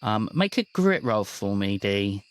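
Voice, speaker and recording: A man acting as game master, narrating an improvised tabletop game in a casual, halting way. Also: lowish voice, big pitch swings, clean tone, no room sound.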